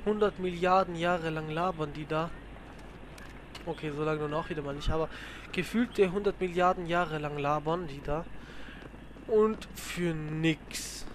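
A young man from Germany giving rambling, crude-slang commentary on his let's play, with loud outbursts. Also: fairly high pitch, a little slow, a little hiss or hum. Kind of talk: casual gaming commentary. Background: game music and sounds.

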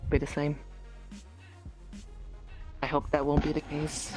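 A woman's voice: low-pitched, completely flat delivery, takes it slowly, over music. A woman playing a stressed, caring friend in improvised role-play, hesitant and often repeating her words. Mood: subdued. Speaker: role-player in a virtual world.

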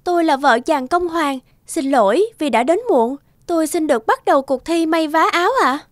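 A woman voicing a gentle, devoted young toad wife in a children's cartoon, reassuring and deferential in manner. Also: high-pitched, normal speed, dry recording, clean studio sound, close to an everyday voice. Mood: polite, confident. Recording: music under the voice.

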